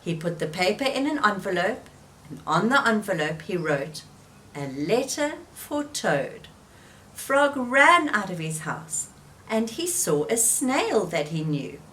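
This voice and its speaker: A woman reading a children's storybook aloud, gentle and expressive. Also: mid-range voice, a little slow. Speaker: storybook reader.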